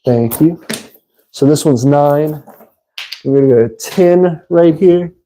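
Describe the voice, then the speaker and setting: A man with a congested voice, hosting a casual livestream and talking haltingly with many fillers. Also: on the high side, warm tone, a little hiss or hum, poor audio. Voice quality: congested, nasal. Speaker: livestream host. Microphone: phone.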